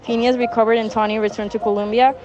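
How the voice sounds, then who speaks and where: A woman reading a scripted story narration in a halting way, stumbling over words. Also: flat delivery, lo-fi sound, music in the background. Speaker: student narrator of a class radio drama. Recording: noisy recording with background rumble.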